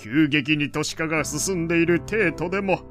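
An actor playing an opinionated, kindly old gentleman in an audio drama, lecturing in old-fashioned elderly phrasing. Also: on the high side, normal speed, faint music behind, lightly acted. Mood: pleased, explaining. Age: older. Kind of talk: Japanese audio drama acting.

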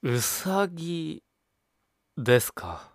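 A man's voice: on the high side, very animated, very slow, dry recording, studio quality, full character voice. An actor playing a courteous, mild-mannered younger man with formal phrasing in an audio drama. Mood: politely curious. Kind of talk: Japanese audio drama acting.

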